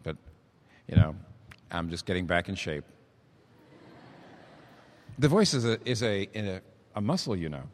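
A hoarse man preaching a sermon as pastor, talking conversationally with emphatic build-ups. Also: sits low, very animated, a little slow, pauses often, slight background noise. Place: church. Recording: long reverb of a large hall.

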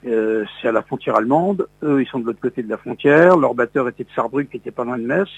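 A man's voice: medium pitch, fast, gravelly, slightly rough, lo-fi sound. An older man from Lorraine, a radio host, reminiscing in an interview with many hesitant fillers and restarts. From Lorraine, France.

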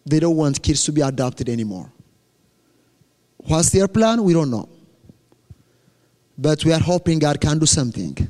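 An earnest young man with an Ethiopian accent, whose first language is Amharic, gives a church testimony in plain, direct English. His voice sits a little high for a man, and it rings with a long reverb through a large hall. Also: expressive, normal speed, pauses often, warm tone. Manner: earnest, plain-spoken, self-correcting, joking about his accent. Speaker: guest speaker at a church.

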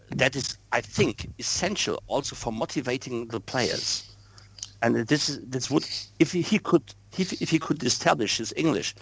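German guy with a gravelly accented voice, earnest, keeps restarting words, dry close sound. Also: mid-range voice, fairly bright tone, no room sound. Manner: earnest, repeats words while searching for English. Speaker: podcast guest. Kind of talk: casual football chat.

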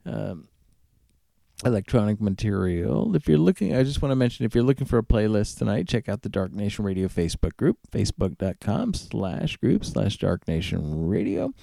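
Animated male radio DJ with creaky voice. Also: on the low side, normal speed, dry recording. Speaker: radio DJ and show host. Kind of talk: casual music radio back-announcing.

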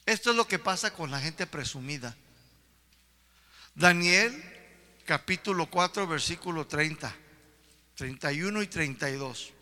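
A male preacher delivers a sermon in an echoing hall. His voice sits high and is extremely bright and forceful. He speaks emphatically, often putting questions to his listeners. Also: lively intonation, a little slow, pauses often. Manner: emphatic, addresses listeners with repeated rhetorical questions. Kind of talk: sermon to a congregation. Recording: reverberant hall.

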